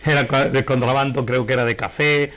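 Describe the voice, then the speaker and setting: A man's voice: medium pitch, fairly even intonation, fairly quick, a bit bright. A middle-aged man from Galicia with a Spanish accent, talking as an interview guest in a digressive, anecdotal way and often correcting himself. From Galicia, Spain. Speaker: interview guest, painter and novelist. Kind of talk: conversational interview, anecdotal storytelling.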